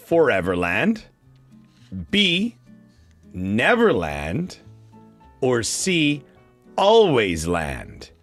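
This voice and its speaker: A man with a Canadian accent hosts a children's radio show in an animated, mid-pitched voice. He talks casually and encouragingly, as if straight to young listeners. Music plays behind him.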